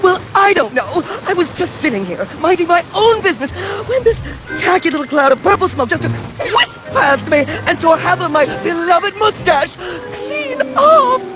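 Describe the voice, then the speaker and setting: A man voicing a vain, melodramatic male sea serpent in an animated cartoon, theatrical and wailing. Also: very high voice, moderate pace, rough recording. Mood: distraught. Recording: music behind the voice.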